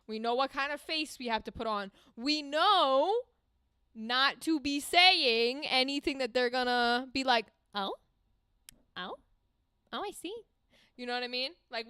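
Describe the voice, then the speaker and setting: A young American woman streaming a game, reacting excitedly and swearing freely as she plays. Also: sits high, clear, resonant tone, no echo, studio quality. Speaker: streamer playing games. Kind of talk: live game commentary.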